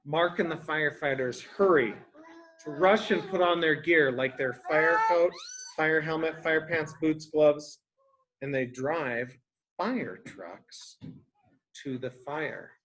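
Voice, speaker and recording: A male early education teacher reads a picture book aloud to young children. He goes very slowly, explaining words in simple phrases. He often turns to the children with questions. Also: on the high side, fairly bright tone, a little hiss or hum.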